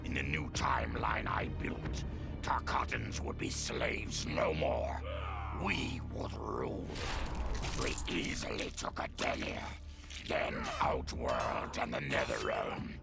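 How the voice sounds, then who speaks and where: An actor playing a savage, proud monster warlord in a video game, boasting in a growling, gravelly voice. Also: male voice, low-pitched, wildly swooping pitch, exaggerated voice. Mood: proud, boastful. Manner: slow, boastful. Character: savage male monster warlord, proud, flesh-eating conqueror. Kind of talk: dramatic video game monologue. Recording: loud music behind the voice.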